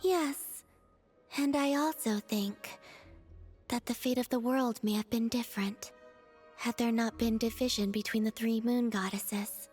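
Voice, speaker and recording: A serene young moon goddess from a video game. The voice is soft, airy and fairly high, speaking in a measured, formal, innocent way over faint music. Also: female voice, fairly even intonation, slightly rough. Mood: reflective. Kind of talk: video game character acting.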